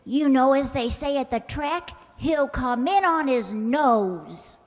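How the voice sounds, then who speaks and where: Woman acting airheaded sitcom gal, super high slow voice, naive n cheerfully sure, big echoey hall. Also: animated. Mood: earnest, naively confident. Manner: earnest, guileless, ditzy. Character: scatterbrained, naive, cheerfully confident young working woman. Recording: echoey hall, long reverb.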